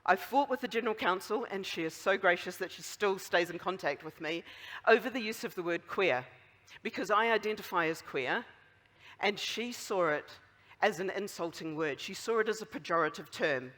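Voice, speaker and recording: A speaker with a strong New Zealand accent giving a conference closing talk, chatty and wry, with many fillers. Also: male voice, high-pitched, animated, moderate pace, bright, forceful tone. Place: large conference hall. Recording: long hall reverb.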